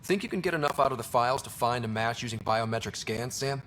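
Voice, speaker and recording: A man's voice: mid-range voice, quick pace, fairly bright tone, slight background noise, close to an everyday voice. A man acting as a determined young space explorer in a video game, speaking in a casual, direct way. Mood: focused, casual.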